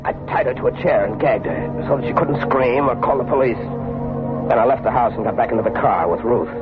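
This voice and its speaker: An actor playing a desperate, paranoid fugitive killer in an intense, agitated, melodramatic vintage radio suspense style. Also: male voice, mid-range voice, a little fast, music in the background, close to an everyday voice. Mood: tense narrating. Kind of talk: 1940s radio suspense acting, first-person narration. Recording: noisy, tinny old radio drama recording.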